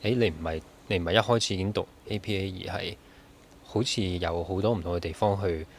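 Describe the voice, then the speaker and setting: A man's voice: low voice, brisk, a little hiss or hum. A man with a Hong Kong Cantonese accent making casual conversational remarks as an interviewer.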